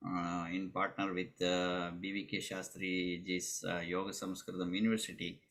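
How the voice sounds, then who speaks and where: A man hosting an online community meeting, talking in a halting way with many fillers and restarts. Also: low voice, flat delivery, fairly bright tone. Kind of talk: casual meeting talk.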